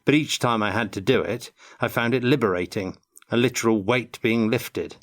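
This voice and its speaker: An older man giving measured, articulate answers as an interview guest in a prepared Q&A. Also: medium pitch. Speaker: interview guest, tabletop game designer.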